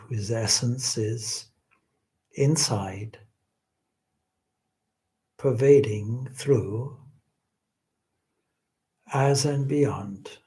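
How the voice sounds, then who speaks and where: A man leading a guided meditation as a swami, speaking in a gentle, reverent, deliberate way with long pauses. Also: mid-range voice.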